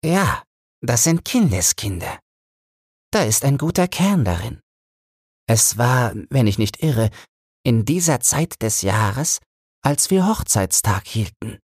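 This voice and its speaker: A fond old wife played by a man reminisces in a bedtime storybook reading. The delivery is slow and smooth, lifted well above the reader's own pitch, and recorded dry. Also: very animated, darkish tone, no echo, exaggerated voice. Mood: tender. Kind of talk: audiobook fairy-tale character reading.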